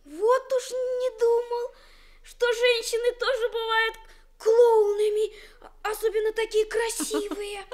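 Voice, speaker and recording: A woman's voice: very high-pitched, little pitch movement, slow. A woman voicing an earnest, wide-eyed teenage boy, the younger brother, in an old Soviet radio play. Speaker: radio drama actor.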